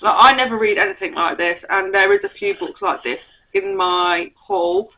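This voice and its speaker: A young woman talks casually through her book haul in a bright, forward voice. She is croaky from a cold and sometimes stumbles over names as she reads. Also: medium pitch, big pitch swings, moderate pace, poor audio. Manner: chatty, reads blurbs aloud, stumbles on names. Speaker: book vlogger.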